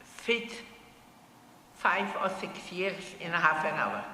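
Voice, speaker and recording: A woman, giving her personal testimony to an audience in halting, short, plain phrases. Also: lowish voice, pushed and bright. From Poland. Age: 80s. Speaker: Holocaust survivor speaker. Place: synagogue hall. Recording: echoey hall, faint room noise.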